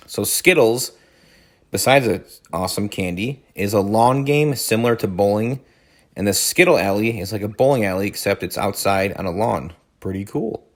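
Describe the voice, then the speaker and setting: A man reading a story aloud as a teacher, adding informal explanatory asides. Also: fairly low pitch.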